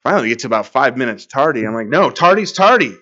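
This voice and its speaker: A man's voice: medium pitch, big pitch swings, fast, bright, forceful tone, dull audio. A middle-aged man preaching a sermon as a pastor, telling stories in a conversational way. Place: church sanctuary.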